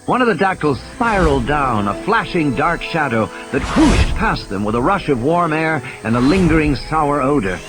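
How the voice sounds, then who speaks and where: Man narrating with lively, swooping pitch. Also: normal speed, few pauses. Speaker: audiobook narrator. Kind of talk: dramatic scripted story narration. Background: music and sound effects.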